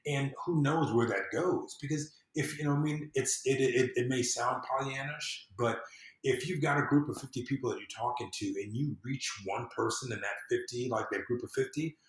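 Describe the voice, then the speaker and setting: A man's voice: medium pitch, moderate pace. A man chatting as a podcast guest, earnest and emphatic, thinking aloud and repeating phrases as he goes. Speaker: podcast guest, civic empowerment consultant. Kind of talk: casual conversational interview. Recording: echoey room.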